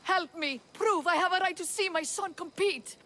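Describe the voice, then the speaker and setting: Pleading accused mother, high, earnest. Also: normal speed, pushed and bright. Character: desperate accused noble mother.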